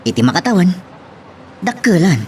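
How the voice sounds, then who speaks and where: A man's voice: high-pitched, sing-song, normal speed. An actor playing a cheeky, eager suitor who drives a tricycle and pleads his case in a serial drama. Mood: breezy, teasing. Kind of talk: radio-style serial drama acting. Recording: faint background noise.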